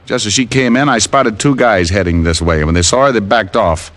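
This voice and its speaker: A man's voice: lowish voice, fairly quick, close to an everyday voice. An American man playing a cocky, wisecracking private detective in 1950s radio style, glib and sarcastic. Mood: wry, brisk. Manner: glib, sarcastic, deadpan quips. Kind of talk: 1950s American radio detective acting with first-person narration. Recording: noisy old-time radio recording.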